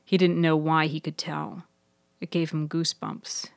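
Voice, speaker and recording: A low-voiced woman narrates scripted fiction for a podcast. Her voice is recorded close and dry, with no room around it. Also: little pitch movement, measured pace, creaky, no echo.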